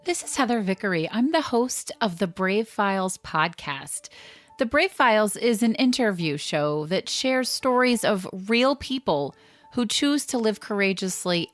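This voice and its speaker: A woman podcast host reading a scripted promo in a polished, deliberate way. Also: mid-range voice, no echo.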